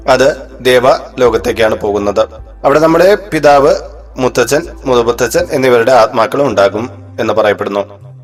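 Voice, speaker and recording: Man narrating formal religious discourse over music. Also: mid-range voice.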